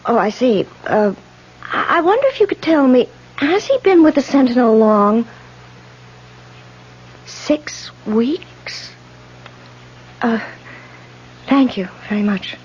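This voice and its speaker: A woman's voice: high voice, slightly rough, lo-fi sound, exaggerated voice. An actress playing an anxious, easily frightened landlady in old-time radio drama, hesitant and stammering, on a vintage recording. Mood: nervous, suspicious. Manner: hesitant, stammering, breathlessly fretful.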